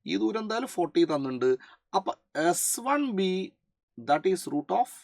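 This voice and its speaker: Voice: medium pitch, very animated, a little slow, no echo. Malayali physics teacher, dry close sound. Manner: code-switches into English terms, frequent check questions. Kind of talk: explanatory lesson working through a problem.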